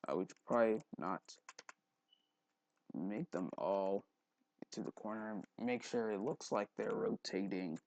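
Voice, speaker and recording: A man hosting a casual, unscripted screen tutorial, haltingly repeating words and muttering to himself, recorded on a headphone mic at his neck. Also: fairly low pitch, a little mellow, no room sound. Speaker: tutorial host. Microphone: headphone mic held at the neck.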